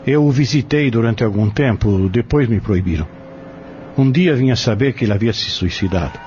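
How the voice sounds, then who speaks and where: A man playing a secretive peddler in a Brazilian audio drama, speaking in a measured, formal, confiding way. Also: medium pitch, lively intonation, a little mellow, a little hiss or hum, natural voice. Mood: grave, confiding. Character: secretive male peddler guarding a dead friend's secret. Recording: dull lo-fi audio drama with music.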